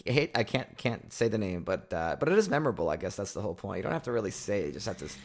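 A man's voice: fairly low pitch, big pitch swings, racing, a little hiss or hum. A man co-hosting a podcast, chatting casually and rambling with lots of fillers and restarts. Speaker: podcast co-host. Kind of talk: casual chat.

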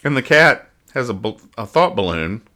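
A man's voice: medium pitch, big pitch swings, normal speed, pushed and bright. A man hosting a casual comedy podcast, reviewing comic strips with wry mock exasperation.

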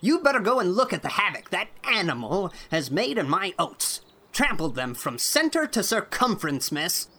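An irate old farmer from a storybook, short and bald, splutters and scolds in a rough, swooping voice, as a woman reader puts him on. He barks with a bright, hard edge and big jumps in pitch. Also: very animated, normal speed, exaggerated voice. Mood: angry bluster.

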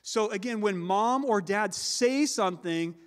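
A high-voiced man preaches a sermon with a loud, carrying voice. He slows down and stresses the words he wants to land. The sound rings with the long echo of a large hall. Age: adult with children. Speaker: pastor.

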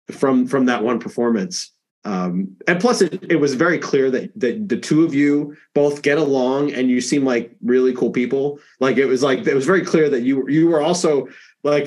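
A male podcast host with a mid-range voice keeps the interview relaxed and casual. He fills gaps with "like" and "you know" and nudges the guest along with short replies. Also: medium pitch. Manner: easygoing, lots of fillers and backchannels.

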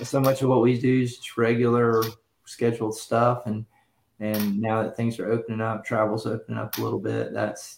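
A man's voice: on the low side, creaky, a little mellow. A man guesting on a talk show, chatting easily and laughing readily. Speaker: guest, ministry leader. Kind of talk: casual conversation. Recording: roomy sound with faint noise.

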